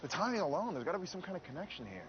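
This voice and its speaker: A man's voice: high-pitched, very animated, rapid-fire, dull audio, loud music behind the voice. An actor playing an earnest, exasperated young male monster hunter in a TV drama. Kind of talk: TV drama acting.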